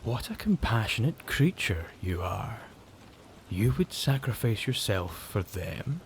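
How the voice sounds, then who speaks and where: A man's voice: fairly low pitch, big pitch swings, a little hiss or hum. A man playing a sadistic vampire in an ASMR horror roleplay, savouring his prey with smug, gloating menace. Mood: mocking, intrigued. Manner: slow, drawn-out, gloating menace.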